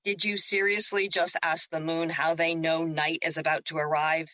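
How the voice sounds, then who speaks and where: A woman's voice: deep voice, flat delivery, normal speed, bright, forceful tone, no echo. A woman playing the Moon as a teasing, wise and reassuring spirit in an audio drama, with a playful, counselling way of talking.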